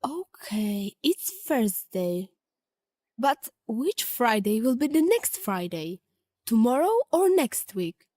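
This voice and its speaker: Actress as impulsive earnest woman, high-pitched, slow-paced, musing to herself, audio drama. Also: big pitch swings, dark tone, no room sound, studio quality, exaggerated voice. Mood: pondering. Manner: musing aloud, questioning herself. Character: Miriam, an earnest, impulsive female letter reader.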